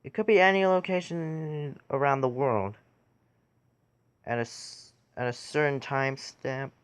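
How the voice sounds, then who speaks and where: A man giving a casual how-to tutorial in a halting way, pausing between short phrases. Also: mid-range voice, smooth. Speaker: tutorial video creator.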